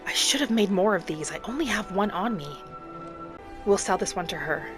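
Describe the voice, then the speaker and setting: A woman narrates a cozy fantasy game in character, with music playing behind her. Her phrasing is courteous and a little formal. She sits at a middle pitch as she talks through the roleplay. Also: lively intonation, normal speed.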